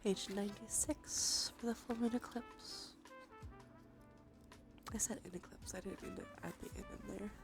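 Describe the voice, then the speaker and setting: A woman livestream host speaking in a soft, breathy, often whispered voice, murmuring casually and unhurriedly to viewers in an ASMR tarot style. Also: medium pitch, steady tone, normal speed, long pauses, over music. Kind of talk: ASMR tarot reading stream.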